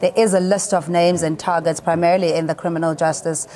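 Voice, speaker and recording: South African woman hosting, mellow lowish voice. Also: fairly even intonation, quick pace. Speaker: TV panel host. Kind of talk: current affairs interviewing. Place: TV studio.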